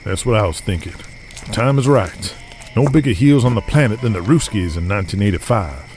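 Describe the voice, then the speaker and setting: A man's voice: fairly low pitch, lively intonation, fairly quick, a little mellow, a little hiss or hum. A man playing a confident, scheming outlaw wrestler and promoter, plain-spoken and self-assured, in an audio drama. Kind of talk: audio drama character acting.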